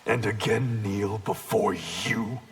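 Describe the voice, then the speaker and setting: An actor playing a proud, bitter rogue ninja clan leader in a video game, gravelly and defiant, with cold deliberation. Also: male voice, lowish voice, flat delivery, slow pace, slight background noise. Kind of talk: video game dramatic dialogue.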